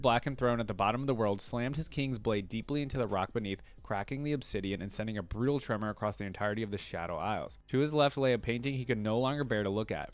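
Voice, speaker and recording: A man hosting a reaction video, reading lore aloud and adding casual commentary. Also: lowish voice.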